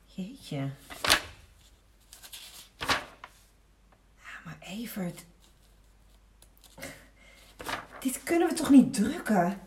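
An actress playing an uneasy, hesitant newspaper editor on the defensive in an audio drama. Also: female voice, medium pitch, sing-song, a little slow. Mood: uneasy, appalled. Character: uneasy newspaper editor woman, cornered and placating. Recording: echoey room, distant, faint noise.

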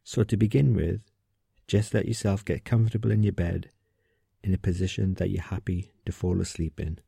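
A man with a low, very dark and relaxed voice leads a sleep meditation. His tone is soft and husky with a creaky edge. He speaks gently and without hurry, leaving room between phrases. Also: no room sound. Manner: soothing, gentle, unhurried, with pauses. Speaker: meditation guide. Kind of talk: guided sleep meditation, calm instruction.

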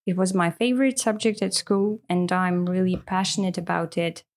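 A woman's voice: lowish voice, steady tone, moderate pace. A woman, co-hosting a casual podcast as an English teacher, talking in a friendly, earnest way with frequent fillers. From Russia. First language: Russian.

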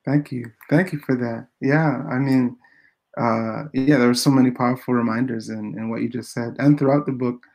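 A soft-spoken man of middle pitch asks a long, winding question. He stops and restarts often, feeling his way toward each phrase. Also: steady tone. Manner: halting, many fillers and restarts, soft-spoken. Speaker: interviewer, host. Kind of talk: interview question.

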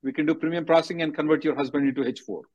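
A man's voice: on the high side, steady tone, rapid-fire, a little mellow, dull audio. A man giving blunt, directive advice as an attorney hosting a call-in, repeating himself for emphasis. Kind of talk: conference call Q&A, quick advice.

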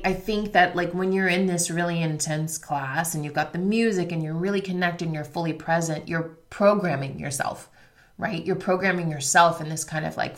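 A woman's voice: low voice, fairly bright tone. A woman with a slightly congested voice, hosting in an unscripted, instructive way with guided breathing and casual personal talk. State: slightly congested voice after illness. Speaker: podcast host and meditation guide.

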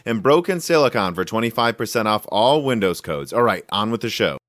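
A male podcast host with a bright, forceful voice set a little low. He talks in a relaxed, chatty way and cuts in with quick follow-up questions. The room around him rings with noticeable echo. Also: soft background music. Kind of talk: casual interview chat. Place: room with audible echo, recorded in person.

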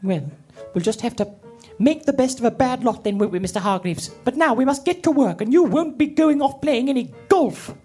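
A woman's voice: high voice, lively intonation, a little fast, hardly any pauses, mellow, slightly rough, soft background music. A woman playing a prim, flustered assistant. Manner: polite, hesitant, stammering. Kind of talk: comic audio sketch.

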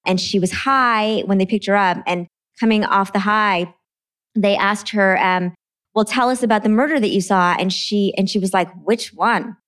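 A woman from Trinidad, an author talking about her books in a relaxed interview, earnest and quick to laugh. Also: mid-range voice. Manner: earnest, laughs easily, repeats words when starting. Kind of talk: conversational interview.

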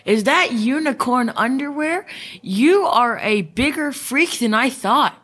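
A woman playing a stubborn, mocking schoolboy bully in an amateur school radio play, with slow, deliberate delivery. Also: high-pitched, lively intonation, fairly bright tone, slightly rough, exaggerated voice. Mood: taunting.

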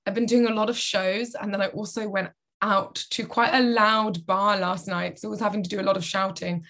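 A woman, co-hosting a casual podcast, her voice tired and a little croaky. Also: mid-range voice, fairly even intonation, a little fast, fairly bright tone. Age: 20s. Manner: chatty, reflective, quotes her own inner thoughts. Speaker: podcast co-host. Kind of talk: casual chat.

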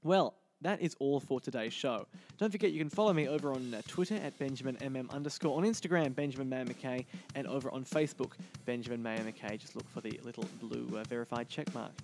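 A man's voice: mid-range voice, fast talker, a little mellow, soft background music. A man hosting a podcast in an upbeat, promotional way. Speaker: podcast host. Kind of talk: podcast hosting, interview and sign-off.

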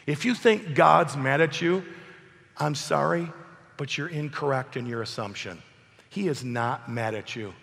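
A man preaching an emphatic sermon while hoarse from a cold, asking his congregation questions. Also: on the high side, measured pace, a bit bright, big-room sound. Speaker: pastor. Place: church hall.

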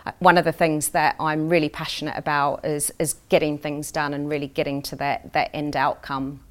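A middle-aged woman from New Zealand speaks in a low-pitched voice with a New Zealand accent. She answers as a corporate interview guest in an earnest, conversational way, sounding keen on her work. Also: little pitch movement, moderate pace.

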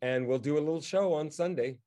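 A man chatting casually in a halting, wry way, as if thinking aloud while he talks. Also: on the high side, dark tone. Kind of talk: casual chat. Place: remote video call.

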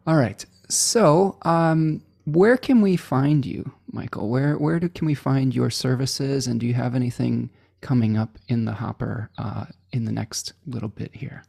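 Upbeat host with a husky, mellow voice. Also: male voice, medium pitch, unhurried, few pauses, no echo, clean studio sound. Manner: upbeat, with many fillers. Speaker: host of a live show. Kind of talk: casual interview talk.

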